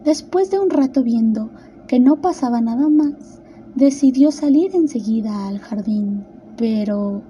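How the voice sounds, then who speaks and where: A woman narrates a storybook tale expressively in a high voice. Her tone is very soft and dark-edged, with faint noise in the recording. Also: expressive, measured pace, muffled recording.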